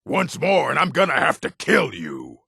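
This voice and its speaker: An actor playing a gruff, combative male orc townsman in a video game, with a rough, husky voice and a blunt, curt way of speaking. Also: fairly high pitch, wildly swooping pitch, moderate pace, pushed and bright, no room sound, studio quality, full character voice. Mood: angry, threatening. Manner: blunt, curt, threatening delivery. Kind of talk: video game character barks.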